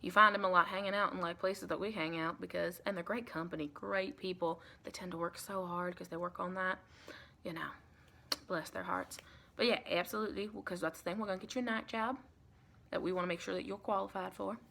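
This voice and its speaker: Friendly female vampire mentor, acted by a woman, ASMR roleplay. Bright-toned, soft-spoken, folksy, colloquial and reassuring. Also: fairly low pitch, fairly even intonation, fairly quick, gravelly, heavily acted. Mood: chatty, reassuring. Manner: chatty, reassuring, colloquial, rambling. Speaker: ASMR performer.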